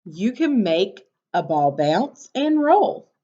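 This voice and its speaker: Woman, teacher reading to young children, unhurried pace, swooping expressive pitch, instructive, question-prompting delivery. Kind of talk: picture-book read-aloud with questions to children. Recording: dull, lo-fi sound.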